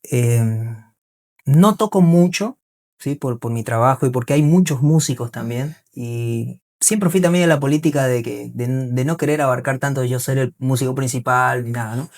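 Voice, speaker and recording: A man with a mid-pitched voice and a Bolivian accent crossed with Argentine speaks as a podcast guest. He explains himself earnestly in casual conversation, often adding small tag questions to check he is understood. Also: normal speed, a little mellow. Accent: Bolivian mixed with Argentine. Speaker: interview guest, singer and musician.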